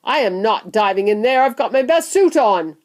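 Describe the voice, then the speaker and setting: A woman's voice: fairly high pitch, lively intonation, normal speed, pitched above the performer's own voice, close to an everyday voice. A woman voicing an exasperated, reluctant, blustering father in a children's storybook, exclaiming and grumbling.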